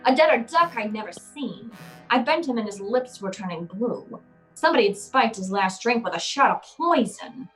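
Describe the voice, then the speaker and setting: Actress as female detective, noir radio narration, dry, wry, slightly high voice, background music. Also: expressive, moderate pace, fairly bright tone, rough recording. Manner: dry, wry, tough storytelling. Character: hardboiled female private eye narrating her case, wry.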